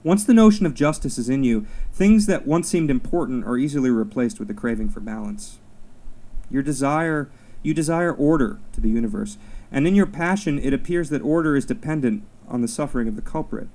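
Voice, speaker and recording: A man reading his own personal essay at a live literary reading, in a measured, deliberate, wry storytelling way. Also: mid-range voice, warm tone.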